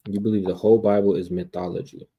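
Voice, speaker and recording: An American man debating casually in street slang, confrontational, often repeating words and clearing his throat. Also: fairly low pitch, flat delivery, fast, lo-fi sound. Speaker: debater on a religious livestream.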